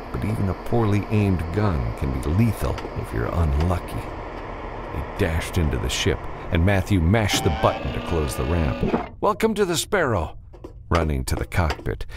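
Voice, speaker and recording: Very deep-voiced male audiobook narrator. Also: heavy background noise. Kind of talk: scripted dramatic narration of an adventure novel.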